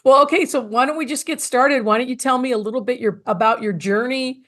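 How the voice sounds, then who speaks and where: A woman's voice: sits high, fairly quick, fairly bright tone. A woman hosting a podcast, interviewing in a conversational, effusive manner. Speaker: podcast host.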